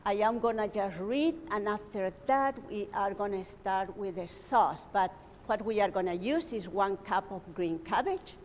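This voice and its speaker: Slow, hesitant woman with a Peruvian accent. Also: mid-range voice, slight background noise. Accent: Peruvian Spanish. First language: Spanish. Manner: hesitant, with frequent fillers, reading from notes. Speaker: cooking demonstrator. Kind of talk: live cooking demonstration. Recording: long reverb in a hall.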